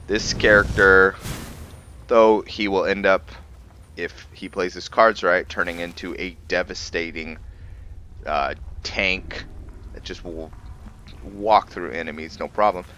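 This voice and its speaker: A man's voice: sits low, steady tone, slow, long pauses, pushed and bright, a little hiss or hum. A man giving casual commentary on a roleplay video game, thinking aloud to himself as he plays. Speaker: gamer hosting a roleplay playthrough.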